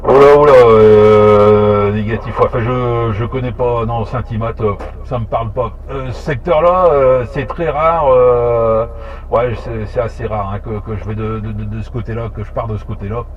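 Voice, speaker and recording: A man chatting casually over amateur radio in a halting way, repeating words as he thinks. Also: medium pitch, fairly even intonation. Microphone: two-way radio transmission. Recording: muffled two-way radio, faint noise.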